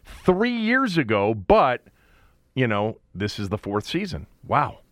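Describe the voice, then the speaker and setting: A man's voice: medium pitch, huge pitch swings, slow, fairly bright tone, no echo, clean studio sound. A man hosting a sports radio show in a casual, conversational way, often breaking off into asides.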